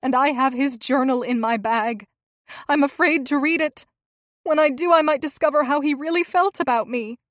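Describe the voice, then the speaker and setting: A woman's voice: high voice, moderate pace, no room sound, studio quality, voice pushed up, close to an everyday voice. A woman voicing a grieving young wife who pleads and breaks with emotion. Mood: fearful.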